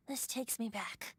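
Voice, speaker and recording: A woman's voice: a little high, fairly bright tone. A woman voicing a playful, wistful young male bard, a wind spirit in human form, with a light boyish voice and lilting storyteller's delivery for a video game. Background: music.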